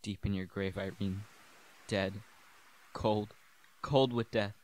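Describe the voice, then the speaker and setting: A teenage male student actor playing a brooding, obsessed widower in a radio play, with intense, halting, melodramatic delivery. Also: on the low side, steady tone, a little mellow. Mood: morbid grief. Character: brooding, obsessed widower addressing his dead wife.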